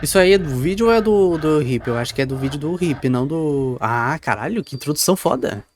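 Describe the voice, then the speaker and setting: Male Brazilian reaction streamer, slightly high-pitched, informal, blunt, sweary and repetitive. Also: lively intonation, fairly quick, darkish tone, slight background noise. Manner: blunt, slangy, repeats his phrases. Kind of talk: casual live reaction with slang and swearing.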